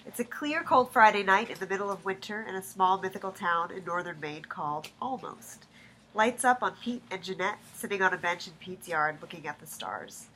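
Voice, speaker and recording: A woman's voice: mid-range voice, moderate pace, sharp tone, rough recording. A woman narrating stage directions from a script at a staged reading. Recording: faint background noise.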